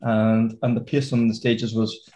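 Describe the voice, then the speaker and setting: A man's voice: on the low side, level tone, measured pace, warm tone. A man hosting a podcast in a chatty, upbeat way with plenty of fillers. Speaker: podcast host. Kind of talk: casual podcast chat.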